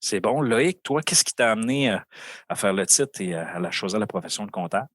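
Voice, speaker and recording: A man's voice: lowish voice, lively intonation, fairly quick, fairly bright tone, no echo, clean studio sound. A man with a Québécois accent hosting a casual round-table podcast, joking and hesitant with many fillers. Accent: Québécois French. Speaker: podcast host.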